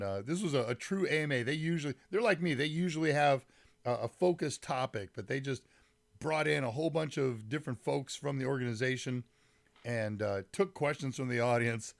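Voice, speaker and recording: A man hosting a casual live tech stream, chatting with viewers by name and rambling a little, his voice a bit deep and congested from a summer cold. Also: no room sound. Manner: rambling, greets chat viewers by name, frequent fillers. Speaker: livestream host. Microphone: mic set some distance away.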